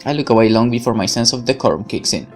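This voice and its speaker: A let's player with a creaky voice, reading narration aloud expressively and dropping in casual asides. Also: mid-range voice, animated, quick pace, faint music behind.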